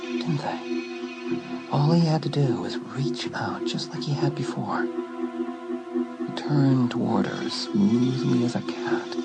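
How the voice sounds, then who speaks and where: A man's voice: medium pitch, huge pitch swings, takes it slowly, creaky, long pauses. A man narrating a dramatised horror story as an audiobook narrator. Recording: loud music behind. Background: loud music.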